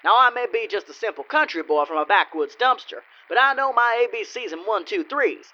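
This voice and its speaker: An actor playing a smug, folksy country lawyer in a comic radio play, with theatrical courtroom patter. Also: male voice, high-pitched, lively intonation, brisk, pushed and bright, muffled recording, full character voice. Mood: showboating. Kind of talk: comedic old-time radio play acting.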